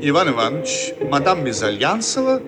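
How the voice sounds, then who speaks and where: A man's voice: fairly high pitch, huge pitch swings, fairly quick, loud music behind the voice. A man as the narrator of a radio play, reading scripted stage directions. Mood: animated narration.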